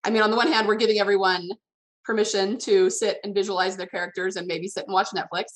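Female podcast interviewer, average pitch, casual conversational delivery on writing topics. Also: flat delivery, fast talker, rough recording.

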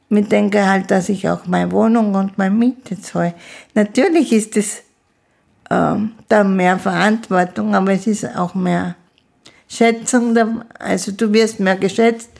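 A woman in her fifties, a guest in an interview, with a gravelly, creaky voice at a middle pitch. She speaks slowly and haltingly and often restarts her sentences. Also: darkish tone. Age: 50s. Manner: slow, halting speech with restarts. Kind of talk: casual conversation.

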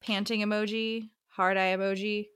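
Chatty lady podcast host, really bright forward voice, slangy and exclamatory. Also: medium pitch, flat delivery, normal speed, smooth. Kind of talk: casual book podcast chat.

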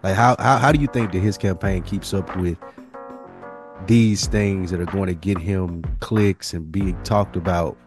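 Very low-voiced man hosting a podcast. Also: unhurried, over music. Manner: slangy, conversational, questioning. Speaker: podcast host. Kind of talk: casual podcast chat.

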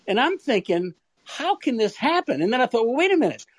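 A man telling a casual anecdote as a fan guest, halting and exasperated as he explains. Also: very high-pitched, sing-song, a little hiss or hum.

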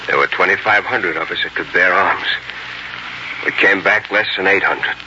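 An actor playing an earnest young frontier farmer and soldier in dramatic 1940s radio style. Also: male voice, sits low, normal speed, bright, forceful tone, a little hiss or hum. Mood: weary, hopeful. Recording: dull 1940s radio recording, music behind.

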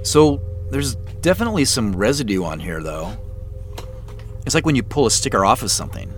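A man's voice: mid-range voice, very animated, a little fast, soft background music, close to an everyday voice. A man acting in an audio drama as an uneasy, polite man on a tense phone call, speaking in a hesitant, understated way. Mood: puzzled.

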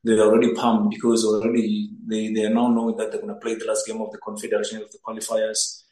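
A man's voice: lowish voice, monotone, fast talker, dark tone. A young man from Zimbabwe who plays as a goalkeeper, answering interview questions earnestly and often repeating words as he searches for them. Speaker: footballer, goalkeeper. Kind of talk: interview answers over a remote link. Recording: echoey room.

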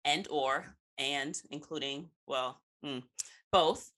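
A woman with a low but bright, forceful voice hosts a casual interview. She talks reflectively, working out her wording as she goes. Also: slow, rough recording. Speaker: podcast host, interviewer.

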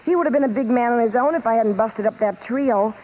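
A woman's voice: on the high side, quick pace, darkish tone, dull audio, natural voice. An actress playing a devoted, proud female vaudeville singer in a 1950s radio drama. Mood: earnest, wistful. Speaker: actress in lead role. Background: music.

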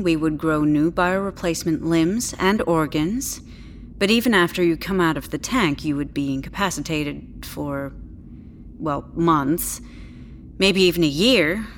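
An actress playing a polite, clinical, tactfully condescending doctor, speaking in a measured, slightly hesitant way in an audio drama. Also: female voice, lowish voice, slight background noise, close to an everyday voice.

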